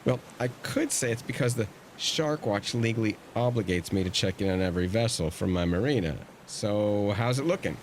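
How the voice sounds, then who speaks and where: Audio drama uncle, middle-aged ex-navy, male actor. Earnest, fretful, lively swings in pitch, some noise behind. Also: normal speed, few pauses, lightly acted. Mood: concerned, wry.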